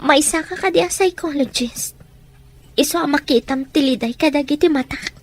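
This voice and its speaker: A woman's voice: high-pitched, normal speed, slight background noise, natural voice. An actress playing a sorrowful young woman with a Filipino accent, plaintive and emotional, in a melodramatic audio drama. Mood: subdued, sad. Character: sorrowful young woman burdened with caring for her siblings. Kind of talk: melodramatic Filipino radio-style drama acting.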